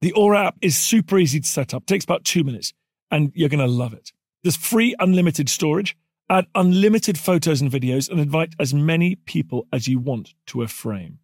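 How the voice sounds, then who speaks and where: A man's voice: fairly high pitch, animated, a little fast, darkish tone, dry recording, studio quality. A man presenting an advertisement in a conversational, scripted read.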